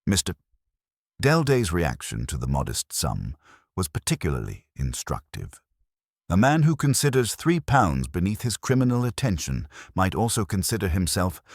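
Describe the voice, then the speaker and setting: A man giving a measured, formal narration of a detective story in a literary style. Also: sits low, no room sound, clean studio sound. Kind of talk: scripted detective audiobook narration.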